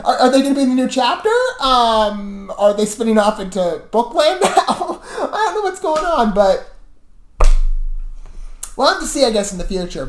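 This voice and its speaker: A woman reviewer talks casually and at length to her viewers, often correcting herself as she goes. Her intonation is very animated and jumps around, and the room adds a noticeable echo. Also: a little high, slight background noise. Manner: animated, rambling, self-correcting. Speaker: reaction video host and reviewer. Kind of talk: casual rambling commentary to viewers. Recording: roomy, echoey room sound.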